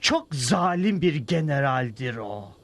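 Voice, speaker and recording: A man playing an excitable, theatrical Mexican villager with a teasing streak in a cartoon dub. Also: mid-range voice, slow, exaggerated voice. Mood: dramatic. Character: mocking, excitable Mexican villager, a canteen keeper. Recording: loud music behind the voice.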